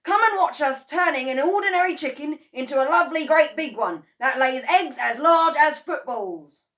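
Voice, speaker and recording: A woman reading a children's story aloud, voicing an excitable, bossy middle-aged farmer who shouts with glee. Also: moderate pace. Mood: excited. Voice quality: shouty, high-pitched and breathless with excitement.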